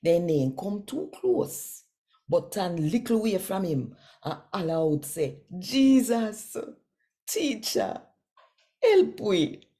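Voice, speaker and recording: A woman with a Jamaican accent, reading scripture aloud and addressing viewers in an expressive storytelling way with deliberate pauses. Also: mid-range voice.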